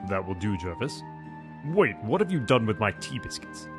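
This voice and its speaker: A man's voice: fairly low pitch, sing-song, normal speed. A pompous English lord in an old-time radio sketch, with a British accent. His clipped exclamations swing dramatically up and down over faint music. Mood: indignant.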